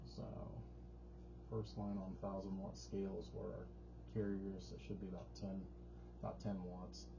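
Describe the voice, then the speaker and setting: A man's voice: low-pitched, level tone, normal speed. A man casually talking through an amplifier demonstration in a folksy, rambling, informal way, as a repair technician. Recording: muffled sound with clear background noise.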